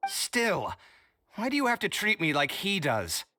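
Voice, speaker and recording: A man's voice: high-pitched, huge pitch swings, measured pace, bright, forceful tone, a little hiss or hum, heavily acted. A man playing a dramatic, easily flustered young man in a video game, fussy and theatrical. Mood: indignant. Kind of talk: English video game character acting. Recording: game music behind the voice.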